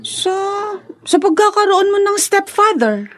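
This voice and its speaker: An actress playing a young, gossipy, teasing matchmaker friend in a Filipino radio drama, with a Filipino accent. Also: female voice, very high voice, big pitch swings, a little slow, a bit bright, full character voice. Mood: teasing, knowing. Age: young adult. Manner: teasing, insinuating.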